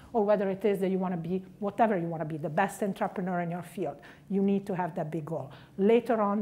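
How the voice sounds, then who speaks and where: A woman's voice: on the low side, dark tone. A middle-aged woman from Italy with an Italian accent, giving a direct, punchy motivational career talk. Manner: direct, punchy, stressing key words.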